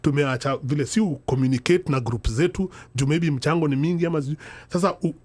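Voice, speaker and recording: A man's voice: fairly high pitch, fast talker, a little mellow. A middle-aged man with a Kenyan accent who switches between English and Sheng in mid-sentence, talking emphatically and asking rhetorical questions in a panel discussion. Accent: Kenyan, mixing English and Sheng Swahili. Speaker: podcast host.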